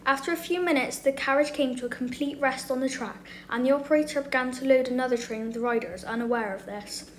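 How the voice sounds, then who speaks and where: A woman reading a scripted documentary narration as the narrator of a video. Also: high-pitched. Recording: roomy echo, faint background noise.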